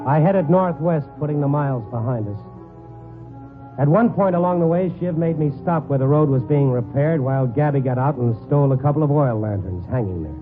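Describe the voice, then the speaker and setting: An actor playing a wry, steady hard-boiled newspaperman who narrates a 1950s radio noir drama. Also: on the high side, fairly quick, music in the background, natural voice. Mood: tense, wry narrating. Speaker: narrator and lead character. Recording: narrow-band old 1950s radio broadcast recording.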